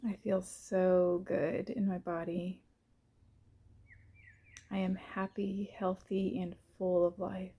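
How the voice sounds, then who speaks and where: A woman gently leading a guided visualization in the first person, soft and unhurried, pausing between short phrases. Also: on the low side, level tone, husky.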